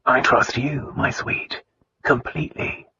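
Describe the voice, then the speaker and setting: An actor playing a tender, commanding husband in a romance audiobook, speaking earnestly and insistently. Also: male voice, mid-range voice, steady tone, slow, sharp tone, highs cut off, a little hiss or hum.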